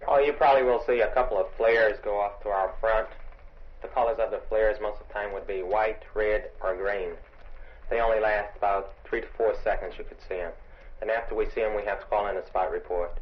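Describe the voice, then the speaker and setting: A man's voice: lowish voice, fairly even intonation, fairly quick. A man, an army sergeant, answering interview questions in a plain, matter-of-fact way on an old field recording. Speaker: army sergeant, border observer. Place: observation tower. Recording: dull, noisy vintage field recording.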